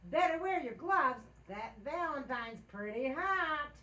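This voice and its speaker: A woman playing a scheming, excitable housewife with dry wit, wisecracking theatrically in an old-time radio sitcom. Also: high-pitched, a little slow, heavily acted. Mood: wry.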